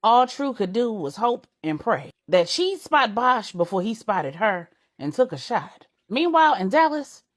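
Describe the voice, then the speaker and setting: A woman storyteller reading a dramatic scripted story, phrasing it deliberately in short units. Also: fairly high pitch, very animated. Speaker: storyteller, narrator.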